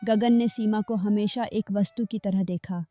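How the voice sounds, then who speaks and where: A woman narrating a cartoon folk story in a steady, measured way. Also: medium pitch. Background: faint background music.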